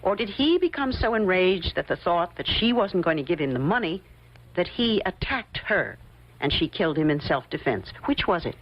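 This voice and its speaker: A woman's voice: lowish voice, very animated, normal speed. An actress playing a shrewd, coolly confident woman investigator in an old-time radio mystery, insistent and pointed. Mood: pointed, accusing. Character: shrewd, probing woman investigator laying out her theory. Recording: muffled old radio drama recording.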